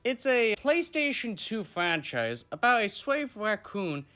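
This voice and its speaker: A man with a bright, slightly high voice narrates a casual explainer. His pitch moves around in a lively way as he talks as a guest commentator. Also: unhurried, faint music behind.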